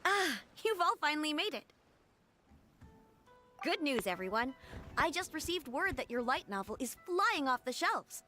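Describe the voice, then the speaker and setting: A woman's voice: high voice, very animated, normal speed, pauses often, bright, forceful tone, faint music behind, full character voice. A woman voicing a polite, upbeat, businesslike female editor in a video game, courteous and professional. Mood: pleased.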